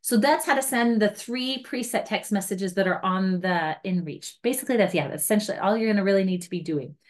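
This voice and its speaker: A woman's voice: medium pitch, fairly quick. A woman trainer walking guides through a device step by step in an informal, chatty way, with light self-mocking asides. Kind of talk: informal hands-on device tutorial.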